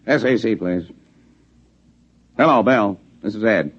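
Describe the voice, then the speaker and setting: An actor playing a brisk, hawkish American general with a commanding, decisive manner in a 1950s radio drama. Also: male voice, on the low side, animated, very noisy. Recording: dull vintage radio recording.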